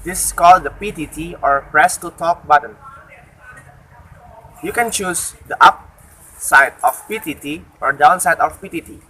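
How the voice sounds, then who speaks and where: A young man giving a halting step-by-step demonstration in simple non-native English, outdoors. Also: on the high side, sharp tone, husky. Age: young adult. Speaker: student demonstrator. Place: outdoors beside a campus building. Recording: faintly noisy outdoor recording.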